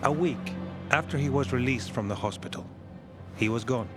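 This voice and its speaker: An earnest, curious young man from a video game, played by an actor. His voice is mid-pitched and natural, with an open way of talking. Music is clearly audible behind him. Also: moderate pace, natural voice. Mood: grave. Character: curious, earnest young son haunted by lost friend.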